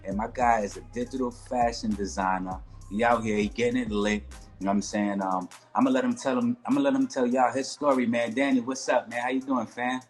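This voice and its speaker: A man's voice: mid-range voice, fairly even intonation, brisk, soft background music. A man hosting a casual podcast interview over a video call, laid-back and full of slang, often tagging "you know what I mean.". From New York. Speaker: podcast host.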